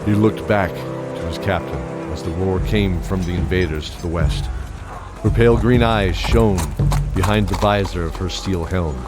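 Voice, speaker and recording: A man's voice: deep voice, moderate pace. A man narrating a scripted fantasy audio drama with dramatic storytelling. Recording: prominent music bed behind voice.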